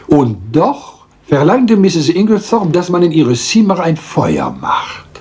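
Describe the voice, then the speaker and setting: An actor playing a small, self-assured Belgian detective with a French accent, theatrical and politely lecturing in a radio crime drama. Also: male voice, fairly high pitch, sing-song, darkish tone. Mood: patiently explaining. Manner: theatrical, deliberate, polite, lecturing, teasing rhetorical questions.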